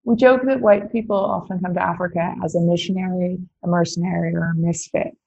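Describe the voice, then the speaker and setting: A woman's voice: on the low side, fairly even intonation, brisk, creaky, darkish tone, lo-fi sound. A woman from the Netherlands, a company founder answering interview questions, thinking aloud with many "like"s and restarts. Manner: lots of "like" and restarts, thoughtful.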